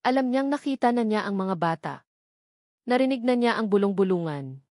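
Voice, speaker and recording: A woman's voice: mid-range voice, animated, normal speed, a little mellow, no echo, studio quality. A woman reading a scripted dramatic story as its narrator.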